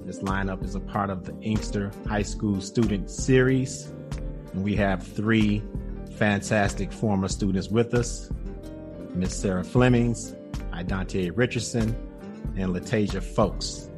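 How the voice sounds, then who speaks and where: Man, podcast host, very deep voice, upbeat, music playing behind. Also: steady tone, measured pace. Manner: friendly, upbeat. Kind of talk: casual interview.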